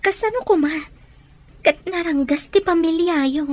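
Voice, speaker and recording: A very high, girlish voice of a young woman played by an actress in an Ilocano drama. She has a Filipino accent and sounds guarded yet tender. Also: unhurried. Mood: soft, hesitant. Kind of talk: Ilocano radio-style drama acting.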